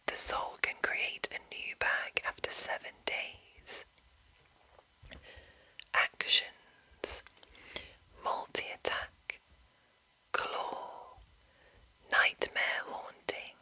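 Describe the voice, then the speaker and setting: Slow, breathy whispering woman. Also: bright, forceful tone, low-quality recording. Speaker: ASMR video host and narrator. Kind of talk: whispered ASMR read-aloud with commentary.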